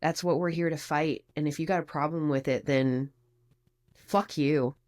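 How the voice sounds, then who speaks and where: A woman's voice: low voice, steady tone, normal speed, a little mellow. A woman guest on a podcast, speaking in a brash and outspoken way with casual swearing. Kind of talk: casual interview chat.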